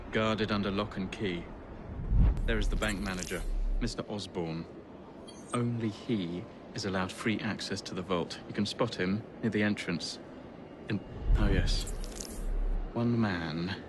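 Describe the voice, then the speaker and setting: An actor playing a stuffy, earnest Victorian police sergeant with an English accent, formal and cautious in a video game. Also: male voice, lowish voice, noisy background, close to an everyday voice. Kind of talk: video game cutscene acting.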